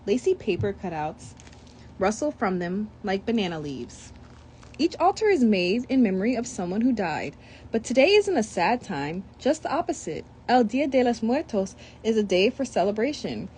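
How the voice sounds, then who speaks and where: A woman's voice: medium pitch, moderate pace, a little hiss or hum. A young woman reading a children's picture book aloud, breaking off for chatty asides. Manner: reads with lively asides and commentary. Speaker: storybook reader.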